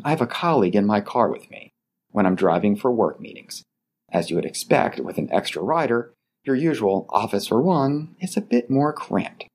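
A middle-aged man hosting a scripted etiquette advice podcast, speaking in a polite, measured way. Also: lowish voice, breathy edge. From Baltimore, Maryland area. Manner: polite, measured, lively delivery. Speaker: podcast host.

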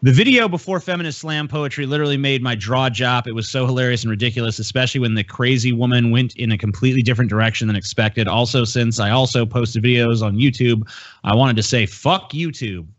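A man's voice: medium pitch, quick pace, dry recording, dull audio. A man hosting a podcast, chatting casually with crude, heavily profane language and reading out viewer messages. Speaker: podcast host.

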